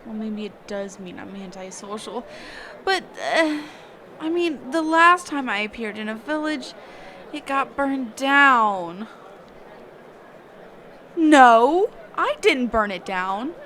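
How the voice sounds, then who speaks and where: A woman voicing a reclusive, socially awkward mage teacher in a roleplay audio, speaking hesitantly and evasively with trailing pauses and hums. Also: a little high, animated, fairly bright tone, slight background noise. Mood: defensive, flustered. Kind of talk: character roleplay audio, one side of a dialogue.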